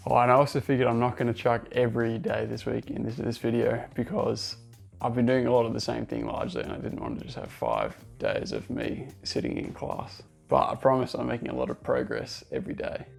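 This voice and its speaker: A man's voice: mid-range voice, animated, a little fast, gravelly, soft background music. A man vlogging casually about learning a new language, upbeat and self-deprecating, talking straight to his viewers. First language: English. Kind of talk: casual vlog talk to camera.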